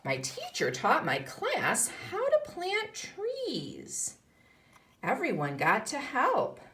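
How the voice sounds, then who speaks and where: A woman's voice: high-pitched, huge pitch swings, takes it slowly, pushed and bright, slight background noise. A woman teaching kindergarten, reading a picture book aloud to young children and explaining as she goes. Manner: instructional, explaining and posing questions to children.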